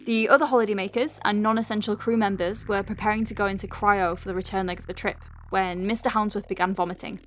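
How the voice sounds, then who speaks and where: A woman's voice: mid-range voice, steady tone, fast, few pauses, a little hiss or hum, close to an everyday voice. A woman playing an exasperated, wry doctor at a space clinic in an audio drama, with a sardonic, conversational delivery. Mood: dry, clipped dictation. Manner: sardonic, conversational, hesitant asides. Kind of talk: audio drama monologue, dictating a letter. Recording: music behind the voice. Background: music.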